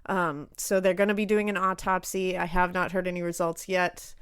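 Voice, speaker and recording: A woman with a Boston accent, co-hosting a casual podcast, animated and swearing freely. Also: on the low side, moderate pace, clean tone, no echo, clean studio sound. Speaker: podcast co-host.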